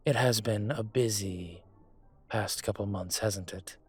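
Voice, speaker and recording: A man acting a suave, older, gentlemanly dragon mafia boss in a romantic roleplay audio drama, courtly and teasing, with a husky voice. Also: on the low side. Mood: smooth, inviting. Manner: unhurried, courtly, teasing, intimate. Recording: music behind the voice.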